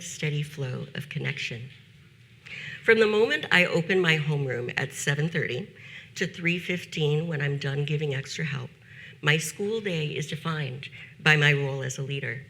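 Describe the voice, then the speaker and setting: Gravelly-voiced woman teacher giving a talk. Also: deep voice, fairly bright tone. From United States. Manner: measured, deliberate delivery with slowed emphasis on key phrases. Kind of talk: prepared conference talk.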